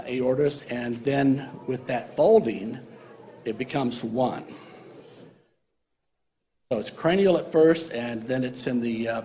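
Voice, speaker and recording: A man's voice: mid-range voice, a little mellow. A man giving a university embryology lecture in a casual, joking way, with many fillers. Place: lecture hall.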